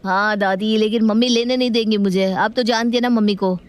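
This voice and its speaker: A woman voicing a sly, wheedling schoolboy in an animated moral story. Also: mid-range voice, a little fast, smooth, close to an everyday voice. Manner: pleading, sly. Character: cheeky, wheedling schoolboy outwitting his elders.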